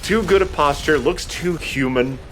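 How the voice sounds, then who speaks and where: A man's voice: a little high, normal speed, a bit bright, soft background music, rough recording. A man giving casual gameplay commentary as a let's play host, with jokey, sweary banter. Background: video game sound.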